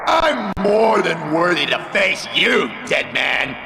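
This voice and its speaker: A man playing a hot-tempered wrestling challenger in a video game, gravelly and confrontational, with emphatic phrasing. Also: high voice, sing-song, slow, full character voice. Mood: angry, defiant. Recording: noisy game capture.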